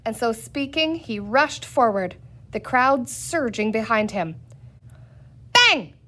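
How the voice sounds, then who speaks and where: A woman reading a children's book aloud as a storyteller, in an expressive style. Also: on the high side, a little slow. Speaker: story reader.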